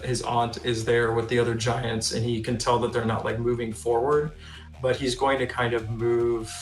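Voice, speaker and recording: A man's voice: mid-range voice, creaky. A man playing a tabletop fantasy game, casually narrating what his character does. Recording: roomy sound with music behind.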